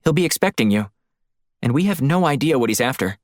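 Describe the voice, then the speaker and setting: A man's voice: medium pitch, animated, fairly quick, no room sound, clean studio sound, voice pushed up, lightly acted. A man voicing a capable, cautious female agent in an audiobook, with an earnest, frank and concerned delivery. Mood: concerned, urgent. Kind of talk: audiobook character voice.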